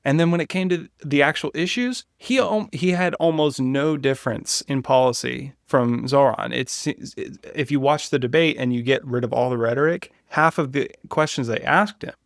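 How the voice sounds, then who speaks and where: A man with a medium-pitched voice talks politics casually, as a podcast co-host does. His delivery is informal and opinionated, and the sound is dry, with no room echo. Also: normal speed, vocal fry, few pauses.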